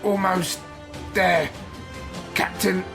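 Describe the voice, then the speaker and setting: A woman playing a bold, gleeful fighting pirate lass with a creaky voice in an adventure drama, over music. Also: on the low side, fairly even intonation, drawn-out words. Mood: wry, ready. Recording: loud music behind the voice.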